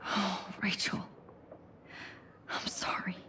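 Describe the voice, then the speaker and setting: A woman voicing an introspective, determined teenage girl student in a video game, in a hushed inner monologue. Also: slow, in a whisper, natural voice. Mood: hushed, reflective. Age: young adult. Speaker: game character.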